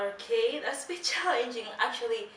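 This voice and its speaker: A woman in her twenties from Tanzania talks about her design work in a soft, modest manner. She sounds set back from the microphone in an echoey room. Steady background noise runs under her voice. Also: a little high, normal speed. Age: 20s. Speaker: guest, accessory designer. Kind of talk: casual interview answers. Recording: echoey room, mic at a distance, noisy.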